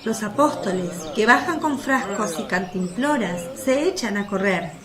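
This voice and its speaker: A woman's voice: mid-range voice, lively intonation, unhurried, a crowd in the background. A woman reading scripted narration for a religious radio drama.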